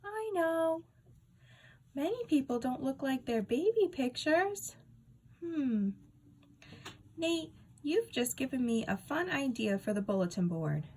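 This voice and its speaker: A woman's voice: high-pitched, big pitch swings, slow, pauses often, darkish tone, voice pushed up, full character voice. A woman reading a children's book, voicing a friendly, chatty female school secretary. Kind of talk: children's book read-aloud.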